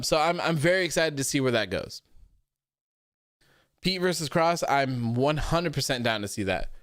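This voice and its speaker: A man's voice: mid-range voice, a bit bright, clean tone, no echo, clean studio sound. A man in his early thirties, hosting a livestream and chatting loosely, reading viewer comments aloud with lots of likes and ums. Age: 30s. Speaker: podcast host reading live chat. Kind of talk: casual livestream chat.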